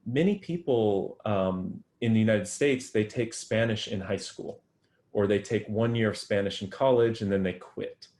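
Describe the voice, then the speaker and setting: A man's voice: fairly low pitch, normal speed, darkish tone. A man answering interview questions about his research, thoughtful and full of ums and restarts. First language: English. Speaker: guest researcher.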